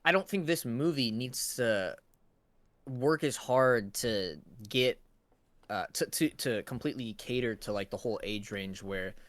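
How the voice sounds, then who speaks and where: A man's voice: medium pitch, measured pace, fairly bright tone, no room sound. A man co-hosting a casual review discussion, explaining his points and often checking in with the listener. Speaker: co-host asking questions.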